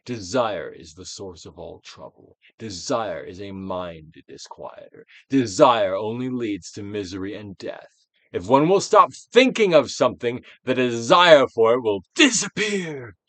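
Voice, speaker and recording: Male dramatic narrator, low register, highly animated intonation, theatrical and solemn audiobook read. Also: a little slow, no echo. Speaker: actor reading a book aloud. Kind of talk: dramatic audiobook reading, theatrical Shakespearean delivery.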